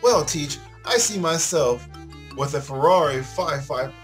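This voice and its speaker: A man voicing a cocky, girl-crazy high school boy from a visual novel, bragging theatrically. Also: lively intonation, measured pace, a bit bright. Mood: boastful. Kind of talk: visual novel character read aloud. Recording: music behind the voice.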